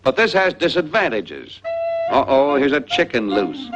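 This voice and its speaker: A dull, vintage film soundtrack with loud music carries a man's narration. His pitch moves in lively swings as he explains things in a folksy, didactic storytelling way, in the scripted style of a 1940s educational film. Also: unhurried.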